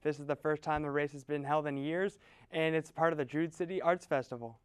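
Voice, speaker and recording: A man's voice: fairly high pitch, steady tone, fairly quick, a little hiss or hum. A man reporting in a TV studio, giving a scripted introduction to a feature. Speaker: sports reporter.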